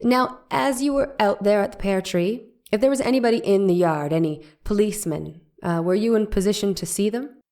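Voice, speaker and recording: A woman's voice: medium pitch, a little fast, hardly any pauses, darkish tone, natural voice. A woman reading the part of an 1890s attorney cross-examining a witness with pressing, leading questions. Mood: probing. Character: probing 1890s defense attorney. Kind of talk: dramatic reading of a trial transcript.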